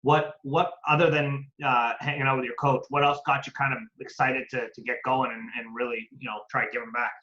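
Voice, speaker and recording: A male interview host with a bright, forceful voice asks friendly, loosely worded questions. His audio is dull and lo-fi, with a roomy echo. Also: medium pitch, little pitch movement, brisk, few pauses. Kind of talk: casual interview. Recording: echoey, dull lo-fi sound.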